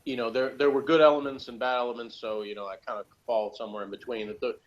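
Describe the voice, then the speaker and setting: A man giving his casual opinion in a review chat, halting, informal and noncommittal, on slightly dull lo-fi audio. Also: medium pitch, level tone. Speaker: reviewer, discussion guest.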